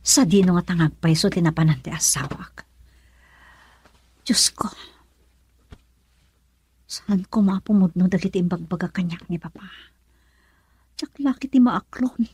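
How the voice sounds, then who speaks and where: A woman with a Filipino accent playing an anguished young daughter in a radio drama, speaking in a soft, breathy, plaintive way. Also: lowish voice, measured pace, pauses often. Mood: anguished whispering. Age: young adult. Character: anguished, tearful young pregnant married daughter. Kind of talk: Ilocano radio drama acting.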